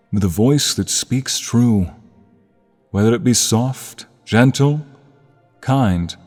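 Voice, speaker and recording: A man's voice: lowish voice, a little mellow. A man playing a solemn, devoted king in a romantic fantasy audio drama, speaking in stately, tender declamation. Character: solemn, devoted, loving young king addressing court and bride. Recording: long hall reverb, music underneath.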